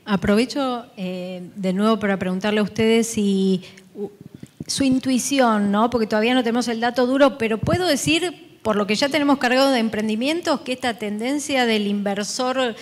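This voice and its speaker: An Argentine woman moderates a conference panel with a brisk, conversational manner. Her voice sits a little high. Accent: Argentine Spanish. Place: large conference hall stage.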